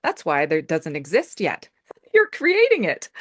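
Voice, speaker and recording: A woman's voice: lowish voice, huge pitch swings, a little slow, no echo, studio quality. A woman hosting a casual interview over a video call, effusive, laughing often and using many fillers. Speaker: host.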